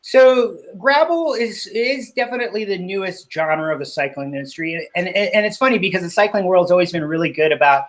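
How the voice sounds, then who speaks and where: Middle-aged man, Spanish first language, interview guest; slightly high voice, hesitant and full of fillers. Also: a little fast, few pauses. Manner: hesitant, many fillers and restarts. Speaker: interview guest, cycling business owner. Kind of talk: casual interview talk.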